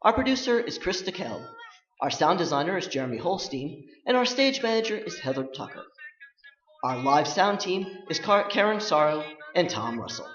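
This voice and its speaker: Male vintage radio announcer, showy and formal; lively high pitch, echoey stage, faint music. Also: moderate pace, lo-fi sound. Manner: formal, showmanlike.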